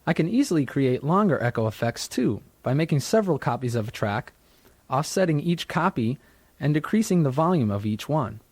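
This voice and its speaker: A man's voice: on the high side, very animated, fairly quick, a little mellow, no room sound. Dry-sounding man narrating a tutorial. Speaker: software tutorial instructor. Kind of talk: scripted instructional narration. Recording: dry studio narration from a 1990s tutorial cassette.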